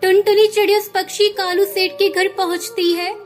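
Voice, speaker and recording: A woman's voice: extremely high pitch, level tone, normal speed. A woman narrating a scripted children's cartoon story. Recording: music behind the voice.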